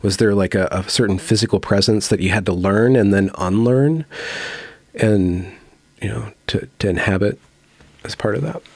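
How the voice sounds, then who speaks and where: A man's voice: on the low side, normal speed, a little mellow, breathy edge, no echo. A man hosting an interview, asking long, reflective questions with frequent fillers. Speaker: host.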